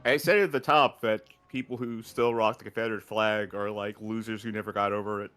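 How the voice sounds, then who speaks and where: A man's voice: fairly low pitch, steady tone, fairly quick, a bit bright, a little hiss or hum. A man co-commentating a game stream in casual chat, rambling and searching for his words. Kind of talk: casual let's-play commentary.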